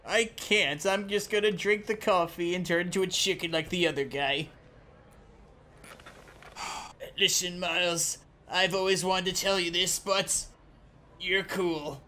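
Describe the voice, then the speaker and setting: Performer doing a slow, childlike, dim old uncle. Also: medium pitch, fairly even intonation, pushed and bright, a little hiss or hum. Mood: slow, earnest. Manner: simple-minded, whimsical. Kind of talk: improvised comic game dub.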